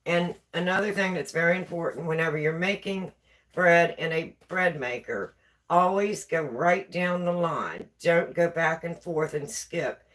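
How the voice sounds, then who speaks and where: A woman's voice: fairly low pitch, a little slow, fairly bright tone. A woman with a West Virginia accent hosting a casual baking live stream from her home kitchen, chatting and repeating herself as she reads viewer comments. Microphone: camera on a tripod.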